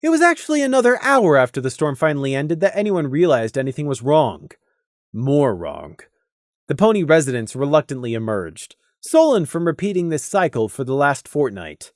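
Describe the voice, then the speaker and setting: A man reading a scripted story as a narrator, deliberate and expressive, with dramatic emphasis. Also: on the high side, no room sound, studio quality. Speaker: audiobook narrator.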